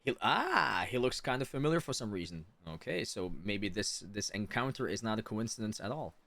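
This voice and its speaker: A man livestreaming a game, chatting casually with viewers and thinking out loud as he reacts. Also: fairly low pitch, lively intonation, a little fast, gravelly, a little hiss or hum. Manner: chatty, reads chat aloud, thinks out loud. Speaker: game streamer.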